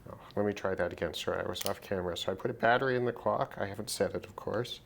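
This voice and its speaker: A man casually narrating a hands-on repair demonstration, thinking aloud in an unhurried way as he works. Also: fairly low pitch, creaky, slight background noise. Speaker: repair video host.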